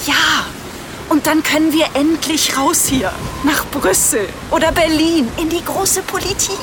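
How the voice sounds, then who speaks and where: An actress playing an ambitious, impatient political wife in a satirical radio play, insistent and condescending. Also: female voice, high-pitched, a little slow, music in the background. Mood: persuasive, eager. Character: ambitious, impatient female mayoral candidate, scheming wife. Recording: loud traffic and vehicle noise behind.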